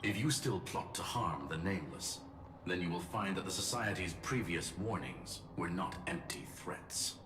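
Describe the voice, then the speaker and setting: A man's voice: low voice, expressive, normal speed, a bit bright, slight background noise. A man playing a logical, courteous genius in a video game, formal, precise and unflappable, echoing over music. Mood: firm, warning. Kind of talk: dramatic game voice acting.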